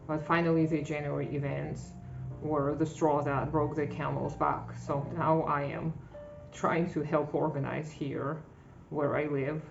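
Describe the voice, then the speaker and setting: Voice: mid-range voice, flat delivery, a little slow, gravelly. Frank vlogger over faint music. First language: Russian. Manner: frank, self-deprecating, with short laughs. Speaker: channel host. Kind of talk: personal vlog monologue. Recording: dull lo-fi audio with faint music.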